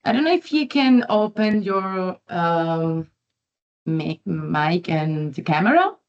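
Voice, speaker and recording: Woman, moderator, friendly, slow pace, dull muffled call audio. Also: on the low side, expressive. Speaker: event moderator. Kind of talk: online meeting moderation. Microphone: video call.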